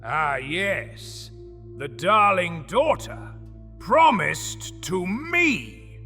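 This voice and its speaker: A man voicing a cackling goblin, high and bouncing voice, slow teasing delivery, bright and sharp, greedy and mocking, long reverb, soft music behind. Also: sing-song, exaggerated voice. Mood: smug. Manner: giggles, teasing, turns to shouting. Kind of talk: ASMR audio drama.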